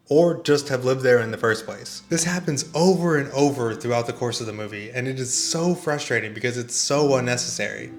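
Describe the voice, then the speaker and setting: A man delivering scripted video essay commentary in a conversational, exasperated way with emphatic rhetorical questions. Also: medium pitch. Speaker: video reviewer and host.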